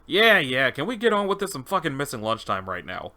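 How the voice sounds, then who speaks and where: A man's voice: a little high, big pitch swings, fairly quick, bright, forceful tone, exaggerated voice. A man voicing an agitated male convict test subject unraveling into madness, bewildered and stammering, in a dramatized interview log. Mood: irritated, impatient.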